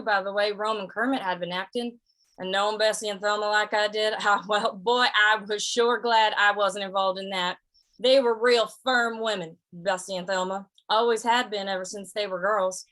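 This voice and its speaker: A woman's voice: mid-range voice, a bit bright, natural voice, low-quality recording. A woman playing an amiable, curious cowboy in an old-time western radio drama, with a mild, hesitant, folksy way of talking.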